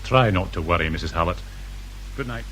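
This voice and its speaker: An actor playing a mild, deferential police sergeant with a British accent in a radio drama. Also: male voice, sits low, expressive, a little fast, a bit bright. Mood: respectful, questioning. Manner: polite, questioning. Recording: faint noise, old radio drama.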